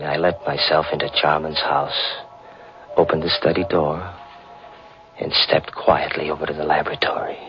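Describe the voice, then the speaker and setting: An actor playing a cold, scheming young man who narrates his own crime in 1940s radio style. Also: extremely low pitch. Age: young adult. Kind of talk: 1940s radio acting, first-person narration. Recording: dull old radio broadcast with music.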